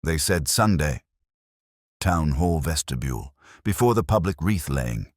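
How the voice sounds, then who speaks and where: A very deep-voiced painter acted by a male narrator, uneasy and candid under questioning. He answers plainly and a little hesitantly in a detective story. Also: expressive, unhurried, dry recording, studio quality, heavily acted.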